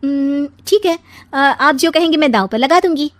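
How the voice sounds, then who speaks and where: A woman's voice: high voice, lively intonation, normal speed, dry recording, pitched above the performer's own voice. A woman voicing a confident, cheeky young daughter-in-law in a cartoon story, teasing and cocky. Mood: eager. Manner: bright-spirited, teasing, cocky. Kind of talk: Hindi cartoon story acting.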